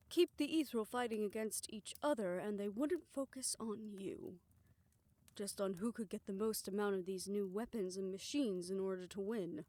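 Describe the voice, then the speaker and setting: A woman performing a scripted audio roleplay, voicing several characters in a soft, hushed way that often drops to a whisper. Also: medium pitch, dry recording.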